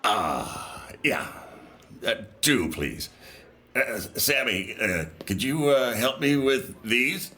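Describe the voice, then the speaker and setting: An actor playing a shy, awkward engineer in an audio drama, stammering and hesitant. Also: male voice, mid-range voice, animated, takes it slowly, pushed and bright. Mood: bashful, flustered. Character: shy, awkward male engineer with a cyber arm. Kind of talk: naturalistic audio drama acting. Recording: busy crowd noise behind. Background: crowd chatter.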